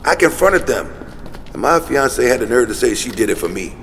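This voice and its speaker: A jaded, paranoid man of the streets in an audio drama, full of cryptic warnings. His delivery is emphatic, with very lively pitch. There is long reverb and faint traffic noise around him. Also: a little fast. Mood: bitter, recounting. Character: Pete, paranoid homeless male conspiracy theorist, jaded ex-newsreader.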